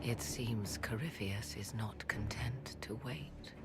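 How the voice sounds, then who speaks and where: Solemn sorceress for a fantasy game, measured and dramatic, very slow, music behind her. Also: rumbling low, heavily acted. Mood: grave. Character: proud, solemn sorceress woman, guarded and dramatic. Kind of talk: dramatic video game acting.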